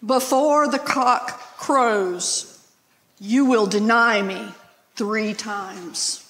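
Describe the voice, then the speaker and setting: A calm, solemn prophet figure in a scripture drama, read aloud by a church reader. The delivery is very slow, with a long reverberant ring. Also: medium pitch. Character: calm, solemn Jesus foretelling things. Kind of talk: dramatized group scripture reading.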